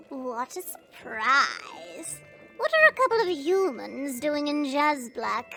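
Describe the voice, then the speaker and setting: A woman's voice: sits high, sing-song, takes it slowly, sharp tone, soft background music, heavily acted. A woman voicing a small, eccentric old goblin shaman who is cheerful and chatty, in a fantasy audio roleplay. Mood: amazed, playful.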